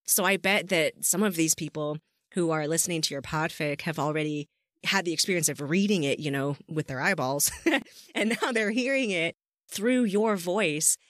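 A woman's voice: deep voice, moderate pace, fairly bright tone, no echo, studio quality. Enthusiastic woman hosting a chatty interview. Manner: enthusiastic, effusive. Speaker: podcast host interviewing a guest.

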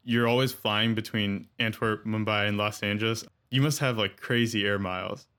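A man's voice: lowish voice, steady tone, normal speed, fairly bright tone, clear, resonant tone. A man hosting a podcast interview, asking questions in an easygoing, joking conversational way. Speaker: podcast host. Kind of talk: casual interview chat.

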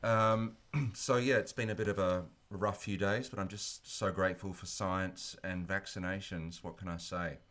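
A man in his fifties with a very low voice co-hosts a podcast. He sounds nasal and congested, and speaks in a candid, wry conversational way. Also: fairly even intonation, moderate pace, few pauses, a bit bright. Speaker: podcast co-host. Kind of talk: casual conversational interview.

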